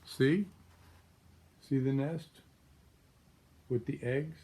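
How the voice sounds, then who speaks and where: An older man in his sixties reads a picture book aloud at a very unhurried pace. His voice sits at an average male pitch. He pauses often and talks to young listeners about the pictures as he goes. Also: steady tone, warm tone. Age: 60s. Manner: unhurried, pausing, explaining pictures to small children. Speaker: grandfather reading aloud to grandchildren. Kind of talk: children's storybook read-aloud with asides about pictures. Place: small room at home, indoors.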